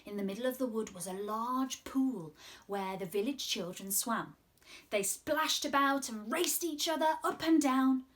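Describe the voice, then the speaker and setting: A British woman in her twenties hosting children's story time, speaking in an animated, sing-song way with exaggerated expression. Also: a little high, unhurried. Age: 20s. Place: small room at home, indoors.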